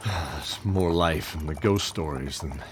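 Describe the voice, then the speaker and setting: A man's voice: very deep voice, a little slow, noisy background. A man playing a bearded old knight, fatherly and wistful, telling his tale hesitantly in an audio drama. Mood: wistful, sombre. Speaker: narrator and knight character.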